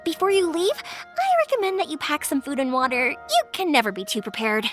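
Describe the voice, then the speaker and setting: Casting: spirited young woman, anime game heroine; very high, animated, bubbly, scatterbrained, caring. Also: faint music behind. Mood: concerned. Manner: bubbly, impulsive, quick to reassure. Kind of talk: anime-style video game voice acting.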